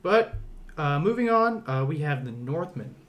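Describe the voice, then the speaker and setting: A man's voice: on the high side, very animated, a little slow, a bit bright. A man hosting a casual podcast discussion about movies, leading the conversation. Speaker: podcast host.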